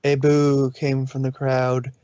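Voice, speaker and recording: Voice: mid-range voice, completely flat delivery, takes it slowly, dark tone, clear, resonant tone, no room sound, dull audio. A narrator reading a story aloud in a storybook style, sometimes stumbling over a word and correcting it. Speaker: narrator, fan fiction reader.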